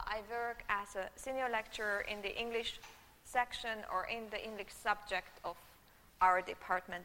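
A woman's voice: mid-range voice, sharp tone, slight background noise. A middle-aged Hungarian woman and university lecturer, a native Hungarian speaker, giving a live lecture into a room microphone, chatty and checking in with her audience often. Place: lecture hall. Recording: long hall reverb.

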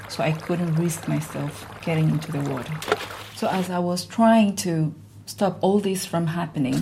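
A woman, telling a personal story in an earnest, measured way. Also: low voice, gravelly, mellow, slight background noise. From Tanzania.

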